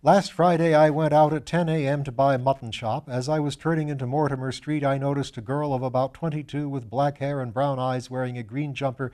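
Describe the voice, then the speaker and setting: A man's voice: mid-range voice, fairly even intonation, brisk, hardly any pauses, a little mellow, close to an everyday voice. A man reading a storybook as a matter-of-fact police detective, explaining plainly and reeling off detail.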